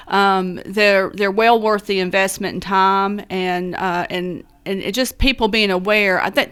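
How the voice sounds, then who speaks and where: A woman guest on a radio interview speaks earnestly in a smooth, clear voice at a middling pitch. She works through her points with plenty of fillers and restarts, in a casual, sincere way. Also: a bit bright. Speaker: guest, Rotary Club member; Sunday school teacher. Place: radio studio.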